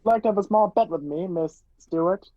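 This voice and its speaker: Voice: mid-range voice, a little fast, no room sound, natural voice. An actor playing Richard Hannay as a brusque, sardonic man on the run in an old-fashioned radio play, recorded muffled over a video call. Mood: dry, sardonic. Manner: commanding, sarcastic.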